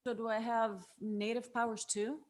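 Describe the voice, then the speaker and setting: A woman's voice: a little high, level tone, normal speed, natural voice. A woman playing an anxious, questioning young granddaughter in a drama script table read.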